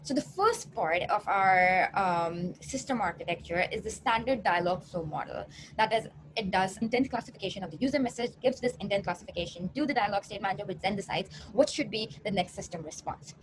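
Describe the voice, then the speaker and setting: A woman's voice: mid-range voice, lively intonation, a little fast. A young woman, a PhD student, giving a rehearsed research presentation. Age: young adult. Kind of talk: rehearsed conference talk. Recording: clearly noisy recording.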